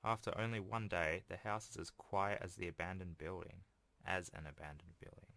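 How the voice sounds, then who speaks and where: A man's voice: deep voice, steady tone, moderate pace, gravelly, sharp tone, dry recording. A man hosting a video game playthrough, reading a visual novel aloud with casual, self-deprecating asides. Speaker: let's play host.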